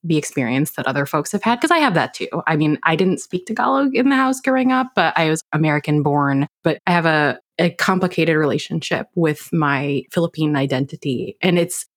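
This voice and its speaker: A woman's voice: sits low, lively intonation, fairly quick, few pauses. A woman hosting a podcast, asking guests rambling, friendly questions. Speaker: podcast host. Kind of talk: casual interview chat.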